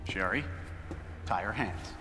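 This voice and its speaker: A man's voice: on the low side, measured pace, close to an everyday voice. A man playing a cruel, corrupt older American police chief in a video game, commanding, sneering and foul-mouthed. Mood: cold menace. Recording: reverberant hall with music behind.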